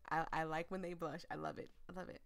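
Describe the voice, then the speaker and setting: A woman's voice: deep voice, little pitch movement, fast talker, creaky, a bit bright. A woman hosting a gaming video, reading a visual novel aloud with affectionate, gushing commentary.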